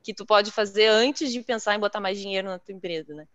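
Young woman, smooth voice, dull call audio. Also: medium pitch, fast talker, no echo. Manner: filler-heavy, repeating words. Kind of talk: live webinar conversation. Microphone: headset on video call.

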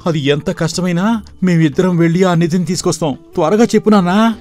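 A man playing a spoiled, greedy young village son, whiny and demanding, in an animated moral story. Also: sits high, a little fast, close to an everyday voice. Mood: eager. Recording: background music throughout.

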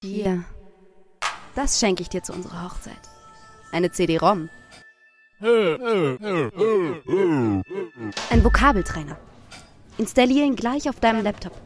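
A woman's voice: medium pitch, expressive, measured pace, pauses often, close to an everyday voice. Woman acting snooty young wife full of longing, radio play monologue, music underneath. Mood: instructive. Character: young upper-class German wife, condescending, self-absorbed, longing.

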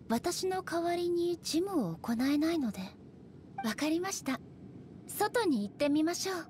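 A high, formal woman's voice for a dignified goddess ruler in an anime game. She is composed, aloof and literal-minded, choosing polite, measured words. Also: darkish tone, slight background noise.

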